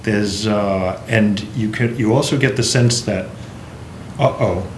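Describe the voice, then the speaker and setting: A man in a writers' group, appreciative and halting, with many fillers. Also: fairly low pitch. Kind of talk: casual group discussion. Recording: roomy echo with faint noise.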